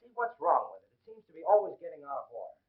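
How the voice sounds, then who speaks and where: A man playing a brash, quick-witted young wisecracker with glib patter in an old film comedy, on a muffled, tinny soundtrack. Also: on the high side, sing-song. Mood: casual. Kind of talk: 1930s film comedy acting.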